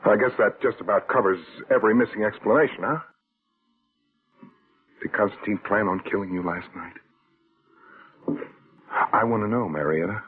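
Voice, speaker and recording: A man's voice: on the low side, moderate pace, pauses often, husky. An actor playing a hard-boiled, haunted man narrating and living a murder plot in a terse, sardonic 1940s radio thriller style. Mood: sardonic, pressing. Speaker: actor narrating as lead character. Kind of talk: 1940s radio thriller acting, first-person narration and dialogue. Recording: tinny old radio recording, loud music behind.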